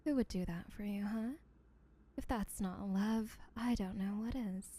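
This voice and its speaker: A woman's voice: medium pitch, measured pace, mellow, natural voice. A woman playing an obsessive, boastful yandere sibling in a comedic audio roleplay, rambling in a smug, teasing, sweetly menacing way. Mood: mocking, gloating.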